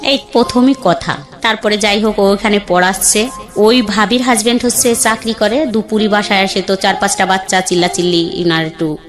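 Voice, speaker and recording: A woman's voice: medium pitch, steady tone, normal speed. Bangladeshi woman telling her story. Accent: Bangladeshi colloquial Bengali. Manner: plain conversational, acts out quoted dialogue. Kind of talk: candid storytelling. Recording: faint background music.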